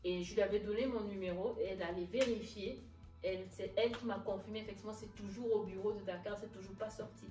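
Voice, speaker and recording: A woman's voice: medium pitch, fairly even intonation, fast, warm tone. Woman storyteller in an echoey, distant recording. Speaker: interview guest. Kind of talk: casual personal storytelling. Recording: echoey room, distant mic, music underneath.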